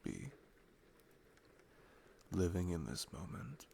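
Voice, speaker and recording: A man playing an affectionate, playful boyfriend in an ASMR roleplay, talking softly and intimately. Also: low voice, very animated, vocal fry, a little hiss or hum, exaggerated voice. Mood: tender, content. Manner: soft, unhurried, intimate, chuckling. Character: affectionate, playful, sleepy-morning boyfriend, cuddly and sweet.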